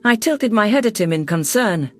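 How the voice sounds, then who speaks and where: A man narrating a science fiction story in the first person with steady storytelling delivery. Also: high-pitched, moderate pace.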